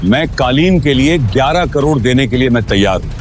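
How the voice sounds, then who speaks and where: A man playing arrogant, sneering rich men in an audio drama, loud, taunting and full of contempt. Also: mid-range voice, animated, a little fast, soft background music, natural voice. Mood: mocking. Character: arrogant, sneering rich men, young adult to middle-aged. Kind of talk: Hindi audio drama acting.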